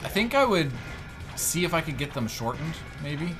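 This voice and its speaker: A man's voice: a little high, big pitch swings, normal speed. A man playing and co-hosting a gaming show in casual let's play banter. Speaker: player and co-host of a gaming show. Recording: game music clearly behind the voice. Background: video game music.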